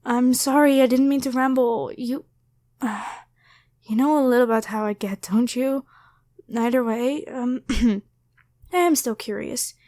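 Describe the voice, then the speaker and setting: A very high-pitched young woman, played by a female voice actor, speaks straight to the listener in an ASMR roleplay. She is grateful and easily flustered. Her talk is hesitant and rambling, full of stammers and apologies. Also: fairly even intonation, slow pace, warm tone. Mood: apologetic, caring.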